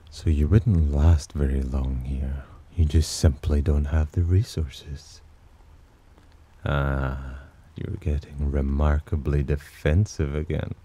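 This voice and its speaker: A man voicing a haughty, teasing demon who looks down on humans, in an intimate ASMR roleplay with a slow, condescending delivery. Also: heavily acted. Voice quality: very deep, dark, husky. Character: haughty, teasing male demon, disdainful of humans, possessively protective.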